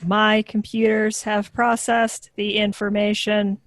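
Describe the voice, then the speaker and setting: A deadpan, childlike robot girl from a sitcom, acted by a woman. The delivery is slow and monotone, obediently literal, as if each phrase were processed before it is spoken. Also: mid-range voice, close to an everyday voice. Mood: flat, obedient. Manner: flat, literal, robotic delivery that echoes others' words. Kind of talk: live sitcom table read.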